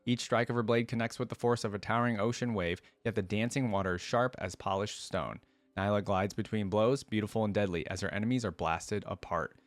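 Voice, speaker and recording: A man's voice: lowish voice, fairly even intonation, fairly quick, dry recording. A man hosting a reaction video, reading a story aloud and adding casual commentary.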